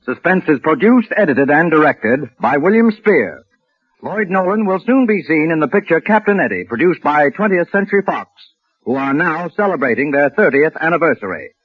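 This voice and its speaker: A man narrating and announcing a 1940s radio thriller in a theatrical, deliberate manner, on a tinny vintage recording. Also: a little high, sing-song, breathy edge, dry recording.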